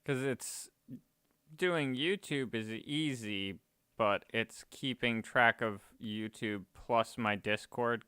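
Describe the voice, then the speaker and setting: Slow, bright-voiced man chatting. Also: fairly low pitch, smooth, no echo, studio quality. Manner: rambling, self-correcting, chuckling. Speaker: streamer. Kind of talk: casual chat while gaming.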